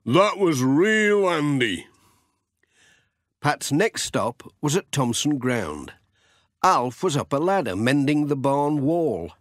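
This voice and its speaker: A man's voice: medium pitch, big pitch swings, slow pace, no room sound, studio quality. A man narrating a children's audiobook story.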